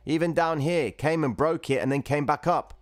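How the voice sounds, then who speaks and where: A man's voice: on the high side, normal speed, no echo, studio quality. A man hosting a livestream, casually explaining chart trading and chatting with viewers who comment. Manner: chatty, reads viewer chat, calls viewers guys and bro.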